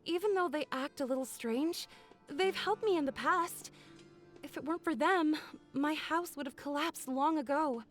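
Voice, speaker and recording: Casting: friendly village woman for a fantasy game, high-pitched, earnest, expressive, over soft music. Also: moderate pace. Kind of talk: video game voice acting.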